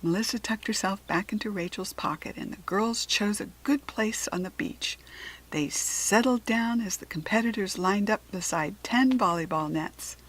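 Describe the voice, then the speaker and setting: A woman with an American accent giving an expressive, deliberate narration of a children's story. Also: medium pitch, low-quality recording. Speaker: storybook reader.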